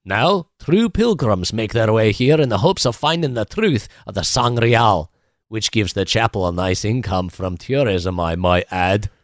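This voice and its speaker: An actor with a Scottish accent playing a gruff, crafty, boastful chapel keeper in a 1940s-style radio play. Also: male voice, lowish voice, animated, unhurried, no room sound, studio quality. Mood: grandly revealing.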